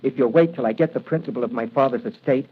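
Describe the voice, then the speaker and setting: An actor playing a desperate, indignant young bank clerk accused of murder, in melodramatic 1940s radio style. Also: male voice, mid-range voice, fast talker, close to an everyday voice. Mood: pleading, anxious. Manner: melodramatic, pleading. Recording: muffled, noisy vintage radio recording.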